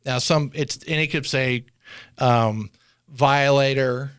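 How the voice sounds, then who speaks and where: A man's voice: medium pitch, fairly even intonation, takes it slowly, fairly bright tone, smooth, no echo, studio quality. A man speaking informally as a former air traffic controller, telling and explaining things in an anecdotal way, often voicing bits of dialogue.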